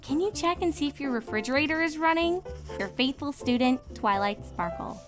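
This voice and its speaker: A woman voicing an earnest, excitable young female pony student who speaks precisely and emphatically in a storybook reading. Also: sits high, brisk, over music, voice pushed up. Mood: formal, careful.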